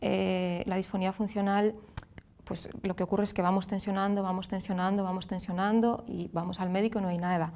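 A woman in her thirties with a Galician Spanish accent, a speech therapist explaining clearly and patiently as a guest in a TV studio. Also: medium pitch, level tone, a little fast, a little mellow. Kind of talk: explanatory interview answers.

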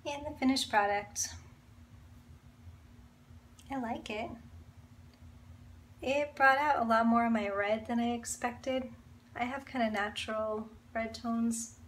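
A woman's voice: fairly high pitch, steady tone, slow, long pauses, fairly bright tone. A woman in her thirties chatting to the camera at home in a small room, talking straight to her viewers. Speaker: vlogging mom. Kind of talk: casual vlog to camera.

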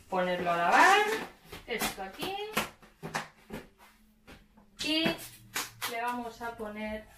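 A woman, explaining a recipe step by step as she cooks at home, picked up by a camera mic across the kitchen. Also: mid-range voice. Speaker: cooking show host. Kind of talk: casual cooking demonstration. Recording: echoey kitchen with clattering noise.

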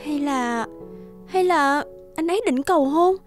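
A woman voicing a hopeful, romantic young girlfriend in an animated cartoon. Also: sits high, measured pace, faint music behind. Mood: hopeful, musing.

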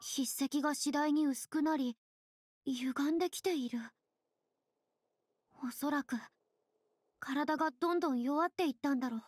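High voiced anime game girl, solemn n theatrical, kinda lofty and measured. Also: darkish tone, no room sound, studio quality. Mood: pensive, investigating. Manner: measured, dramatic, slightly lofty. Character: theatrical young woman, solemn, thoughtful, formally spoken. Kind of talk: Japanese video game voice acting.

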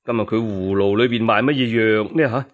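A man with a Cantonese accent narrating a historical tale as a solo radio storyteller, dramatic and expressive. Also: on the low side, brisk, dull audio.

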